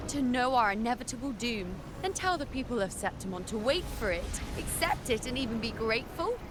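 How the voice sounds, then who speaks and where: A woman playing an anguished priestess seer in a video game, solemn, theatrical and often breathy. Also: a little high, very animated, fairly quick, noisy background. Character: anguished priestess seer despising her own visions of doom. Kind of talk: dramatic video game monologue. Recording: game music behind the voice.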